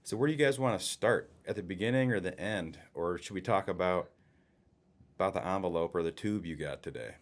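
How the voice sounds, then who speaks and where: A man's voice: deep voice, a little fast. A man of forty from northern Illinois, co-hosting a podcast interview and summing up in a conversational way. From Waukegan, Illinois area. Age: 40s. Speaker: co-host.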